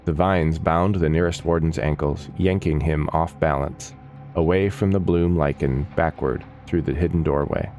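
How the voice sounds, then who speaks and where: A man reading scripted fantasy story narration in a measured, descriptive manner. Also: a bass voice, fairly even intonation, darkish tone, soft background music. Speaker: audiobook narrator.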